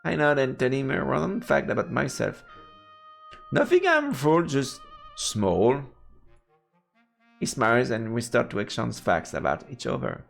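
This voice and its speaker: A man's voice: medium pitch, very animated, unhurried, creaky, long pauses, faint music behind. A man live-streaming a game, reading its narration aloud and adding murmured, playful asides. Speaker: let's play streamer.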